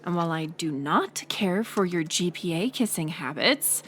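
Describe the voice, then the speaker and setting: A young woman playing a mocking college bully in an audio roleplay, sarcastic and teasing, with a hidden softer side. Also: fairly low pitch, expressive, normal speed, a little hiss or hum. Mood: dismissive. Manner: sarcastic, teasing, scoffing with sighs and breathy asides. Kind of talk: audio roleplay acting, intimate one-sided dialogue.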